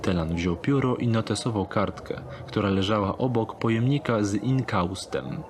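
A man narrates a dark thriller audio drama from a script. His voice sits on the low side. There is light background noise and ambience beneath the reading. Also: moderate pace.

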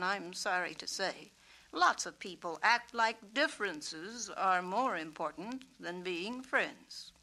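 A woman voicing a kindly, wise male peacock in a storybook, patient and measured as he teaches. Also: mid-range voice, bright, forceful tone, exaggerated voice, poor audio. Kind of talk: storybook character reading.

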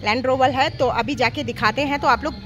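A middle-aged woman chats straight to her viewers through a phone held out at arm's length. Her voice sits high. Loud background music plays under her outdoor night recording. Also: brisk. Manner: chatty, talks straight to viewers. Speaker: vlogger. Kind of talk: casual travel vlog chat. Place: outdoor cafe and street at night. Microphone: phone camera held at arm's length.

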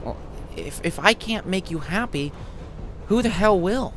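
A man's voice: sits high, lively intonation, a little slow, background noise. A man voicing a heartbroken, exasperated young student in an audio short story, self-pitying and prone to swearing. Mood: hesitant, sad.

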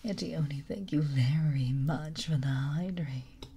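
A woman with a very breathy, husky whisper that sits deep and raspy. She speaks slowly and gently in a close ASMR stream, giggling often between lines. Her tone is soft and mellow.

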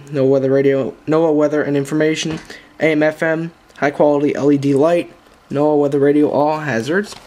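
A man with a slightly high voice talks through an unboxing in a casual vlog style. His delivery is informal and halting. He is picked up by a camera microphone indoors, with some background noise. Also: a little mellow. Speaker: video host. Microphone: handheld camera's built-in microphone.

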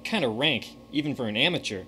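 An actor playing a wry, scolding ghost in a radio play, with a dry, teasing delivery. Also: male voice, medium pitch, lively intonation, fairly quick, pushed and bright, a little hiss or hum.

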